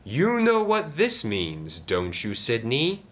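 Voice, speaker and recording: A man's voice: mid-range voice, huge pitch swings, slow, fairly bright tone, voice pushed up, exaggerated voice. Man as a bossy, scheming diesel engine. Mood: cross. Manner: curt, sly, dismissive.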